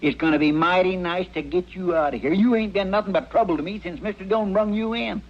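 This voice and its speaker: A man's voice: sits high, fast talker. A man acting a flustered, folksy jail deputy in an old radio western, with a rural American western drawl and a stammering, rambling way of talking. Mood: testy. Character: flustered, put-upon male frontier jail deputy, folksy and hapless. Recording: muffled old radio recording, faint hiss.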